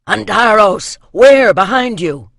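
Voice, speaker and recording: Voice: mid-range voice, animated, takes it slowly, creaky, a bit bright, no room sound, pitched above the performer's own voice, heavily acted. Grim doomed warrior, as a narrator voices him. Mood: urgent yelling. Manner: grave, resolute. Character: grim, doomed male warrior defending a citadel. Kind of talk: audiobook character dialogue.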